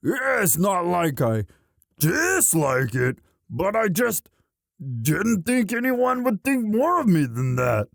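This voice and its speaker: A man playing a young orc, a gentle himbo sweetheart who talks in a halting, stammering, bashful and earnest way in a romantic roleplay audio. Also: high-pitched, sing-song, vocal fry, no echo, clean studio sound, full character voice. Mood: excited, sheepish.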